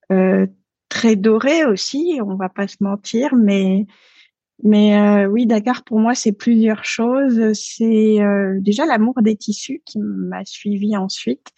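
Guest, woman, 30s, French, halting and reflective, dry slightly dull sound. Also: mid-range voice, dark tone, no room sound. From France. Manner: hesitant, many fillers and restarts. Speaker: podcast guest. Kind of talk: casual interview talk.

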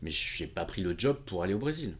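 A man's voice: sits low, very animated, a little fast. A man being interviewed as a podcast guest, telling anecdotes casually and acting out quoted dialogue. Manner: informal, acts out quoted dialogue. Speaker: podcast guest, independent consultant. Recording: clearly audible background noise.

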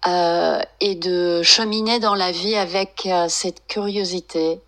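A woman speaks at a very unhurried pace, pausing often with soft fillers as she searches for words. Her voice sits a little low yet sounds bright and clear. Calm and reflective. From Iran, raised in France. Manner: slow, hesitant, many fillers. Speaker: author and meditation guest. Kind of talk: reflective interview answers.

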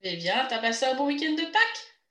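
A woman's voice: high voice, sing-song, fairly quick, a bit bright. A woman hosting a podcast, asking friendly, slightly hesitant questions. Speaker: podcast host. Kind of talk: conversational interview questions. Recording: roomy echo.

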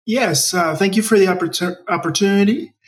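An American man in his forties talks conversationally as an interview guest, telling his own story. His voice is on the higher side and sounds a little away from the microphone. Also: fairly quick, faint music behind. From Maryland, United States. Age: 40s. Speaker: interview guest, nonprofit project leader. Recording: distant from the mic.

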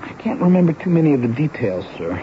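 An actor playing an earnest, pleading teenage runaway from Louisiana in a 1970s radio drama, speaking haltingly and emotionally. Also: male voice, a little high, very animated. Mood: weary, halting. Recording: dull, noisy old radio broadcast recording.

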